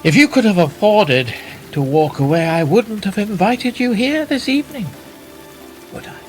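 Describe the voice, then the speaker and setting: A man playing powerful titled schemer, condescending, measured, animated intonation, quietly menacing, radio drama, music behind. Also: sits high. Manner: measured, condescending, with rhetorical tag questions. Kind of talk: radio play dialogue.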